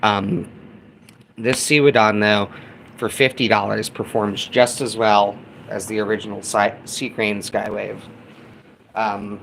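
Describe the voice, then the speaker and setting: A man's voice: medium pitch, fairly bright tone. A man casually demonstrating gear as a hobbyist host, talking to viewers like buddies. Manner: informal, buddy-like address to viewers. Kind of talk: casual hands-on gear demo. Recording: clear background noise.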